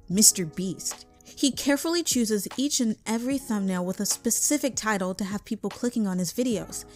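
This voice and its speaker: A woman's voice: medium pitch, darkish tone. A woman reading scripted narration as the narrator of a video essay. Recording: background music under voice. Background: music.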